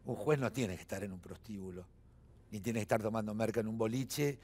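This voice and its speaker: A man's voice: lowish voice, brisk, a little mellow, slightly rough. A man in his seventies with an Argentine accent, a guest in a television studio interview, talking emphatically with frequent fillers and restarts. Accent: Argentine Spanish. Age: 70s.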